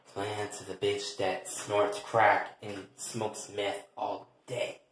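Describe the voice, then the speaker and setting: A man voicing a cool, contemptuous woman who talks softly and measuredly in a comedy skit. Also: level tone, a little hiss or hum. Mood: disdainful. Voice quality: soft, hushed, low. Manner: measured, icy, disdainful. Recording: very echoey, reverberant room.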